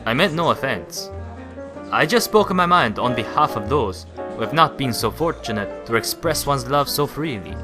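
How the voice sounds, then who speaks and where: A man playing a courteous, earnest Italian gentleman passenger in a radio play, speaking formally and with measured politeness. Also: mid-range voice, lively intonation, lightly acted. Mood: earnest reproach. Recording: music bed under dialogue. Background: music.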